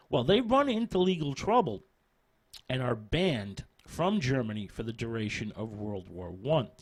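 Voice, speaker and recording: Male radio host for a film history segment; mid-pitched, highly animated intonation, hesitant phrasing with emphatic names. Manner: hesitant, frequent ums and repeated words. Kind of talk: film history talk for radio, half-scripted.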